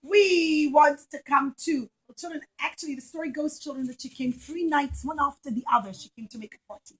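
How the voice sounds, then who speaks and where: A woman with a high voice tells a story to young children as part of a puppet show. She speaks in simple words with dramatic emphasis, addressing the children directly. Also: fast talker, low-quality recording.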